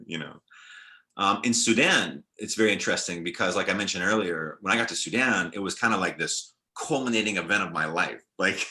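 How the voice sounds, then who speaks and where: A young man on a video call panel, talking in a relaxed, reflective way with many fillers and restarts. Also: low-pitched. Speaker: film director and panelist.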